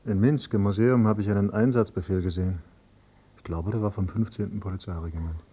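Deep-voiced, probing grown son. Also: male voice, steady tone, fairly quick, warm tone, breathy edge, lo-fi sound. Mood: sardonic. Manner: questioning, understated, needling. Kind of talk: German radio drama acting.

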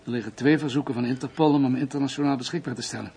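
A reserved police commissioner played by a male actor in a radio drama. His voice is of medium pitch, and he speaks correctly and carefully, in a measured, businesslike manner that commits to nothing. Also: steady tone, dark tone, natural voice. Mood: matter-of-fact.

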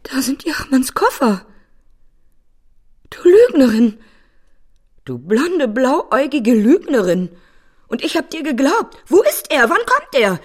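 An actress playing a bitter, domineering older mother-in-law in a radio drama, sneering and theatrical, with a husky voice. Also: sits high, big pitch swings, slow, pauses often, darkish tone, heavily acted. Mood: furious accusing. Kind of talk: German radio drama acting.

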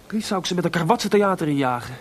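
A man acting in a radio play as an excitable, aggrieved composer short of money, stammering and exclaiming. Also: high voice, fast. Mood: frustrated. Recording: noisy radio play recording.

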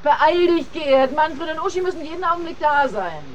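A fussing, insistent wife in a radio drama. Her voice is high, her manner brisk and nagging, with faint ambience behind it. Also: animated, lo-fi sound, slight background noise. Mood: fussing, impatient. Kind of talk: German radio drama acting.

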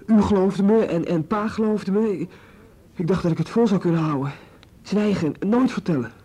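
Husky, stammering guilt-ridden teenage boy. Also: high voice, dark tone. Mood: distressed. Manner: halting, stammering, deferential. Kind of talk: radio drama acting.

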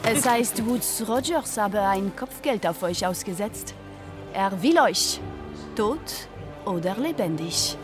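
A woman's voice: medium pitch, lively intonation, takes it slowly, music in the background. An actress playing a worldly, wry Frenchwoman with a French accent in a radio drama, chatty and knowing. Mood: cautioning. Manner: chatty, teasing, tag questions. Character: worldly, teasing Frenchwoman, wry pirate confidante.

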